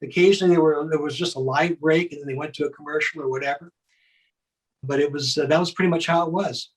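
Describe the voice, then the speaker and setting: Older man with a higher-pitched voice, reminiscing. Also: steady tone, fast talker, breathy edge, poor audio. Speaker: guest, former child contestant. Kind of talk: casual reminiscing.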